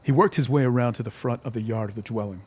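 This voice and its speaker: A man's voice: medium pitch, fast, slightly rough. A man narrating a scripted audio drama in a suspenseful storytelling manner. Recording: music bed under the voice.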